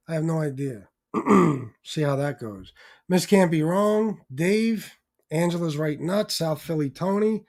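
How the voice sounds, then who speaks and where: A man hosting a livestream, chatting casually and informally with a hoarse voice that is giving out. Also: fairly high pitch, darkish tone. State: hoarse, losing his voice. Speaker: livestream host. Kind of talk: casual livestream chat with shout-outs.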